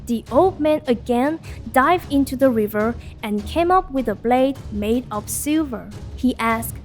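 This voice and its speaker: A woman narrates a children's fairy tale in a high voice. She takes her time with the scripted story, and music plays behind her. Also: lively intonation, measured pace.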